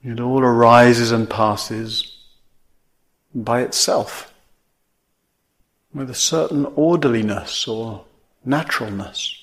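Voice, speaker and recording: A man teaching a guided meditation in measured phrases, with long pauses between them. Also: mid-range voice.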